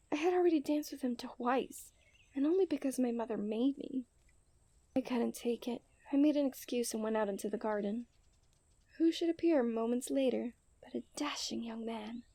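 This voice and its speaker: A young woman playing a coy, refined and tender princess in a romantic ASMR roleplay, speaking in playful storybook diction that often softens to a near-whisper. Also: a little high, moderate pace, dry recording. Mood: tender, earnest. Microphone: close studio-style microphone.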